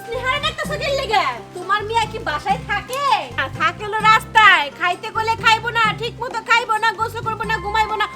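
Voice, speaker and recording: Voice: very high voice, fast, few pauses, loud music behind the voice. A performer voicing an irritable, sarcastic parent in a comic cartoon, scolding and grumbling with a Bangladeshi accent. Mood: irritated, sarcastic. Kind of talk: comic Bengali cartoon drama.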